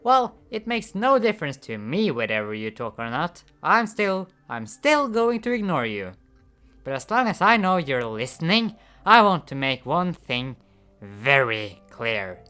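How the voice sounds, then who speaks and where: A man voicing an intense, paranoid man who insists he has a system, in a loud, insistent, ranting manner for a comic read-aloud. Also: on the high side, very animated, a little slow, smooth, faint music behind, pitched above the performer's own voice, exaggerated voice.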